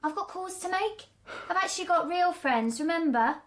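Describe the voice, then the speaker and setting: A woman's voice: extremely high pitch, animated, normal speed, fairly bright tone. A woman playing a bossy, sneering schoolgirl in a radio play, taunting and domineering.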